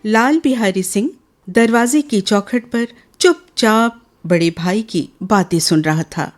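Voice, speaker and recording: Woman narrating a radio play, slow n expressive, bit of music under her. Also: mid-range voice. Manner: measured, expressive. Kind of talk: scripted dramatic storytelling for radio.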